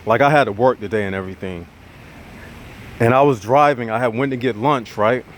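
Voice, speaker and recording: A man holds forth to his viewers, calling them y'all and building up his points like a street preacher. His voice is a little nasal from congestion, pitched in an ordinary middle range, with a faint hum of a vehicle behind him. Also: a little fast. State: nasal, getting over congestion. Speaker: channel host. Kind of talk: casual monologue to viewers.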